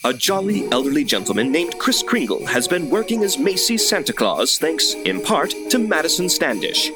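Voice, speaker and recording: A man playing a formal, measured, drily ironic narrator in old-time radio theater style. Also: a little high, sing-song. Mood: formal, wry. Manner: measured, formal, dry irony. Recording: loud music under the voice.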